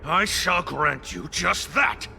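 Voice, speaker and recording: A man voicing a boastful, bloodthirsty enemy warrior in a fantasy game, gravelly, theatrical and taunting. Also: high-pitched, slow, sharp tone, soft background music, exaggerated voice. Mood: mocking. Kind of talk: fantasy video game acting.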